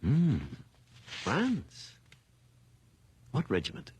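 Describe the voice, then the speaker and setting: An actor playing a courteous, shrewd and compassionate judge in a radio drama, speaking in a measured, thoughtful way. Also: male voice, fairly high pitch, wildly swooping pitch, husky, dry recording, full character voice. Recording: loud background ambience noise.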